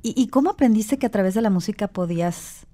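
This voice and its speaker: Mellow-voiced woman co-hosting radio. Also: medium pitch, lively intonation. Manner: inquisitive, halting restarts. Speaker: radio co-host. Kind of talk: radio interview.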